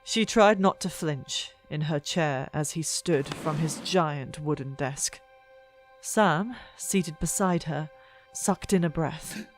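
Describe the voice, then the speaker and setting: Audiobook narrator, mid-pitched, very unhurried, suspenseful and expressive, faint music underneath. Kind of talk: dramatic scripted fiction narration. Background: soft background music.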